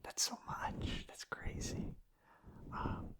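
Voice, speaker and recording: A man speaking in a breathy, unvoiced whisper, rambling casually and hesitantly in an ASMR style. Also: takes it slowly. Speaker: ASMR creator.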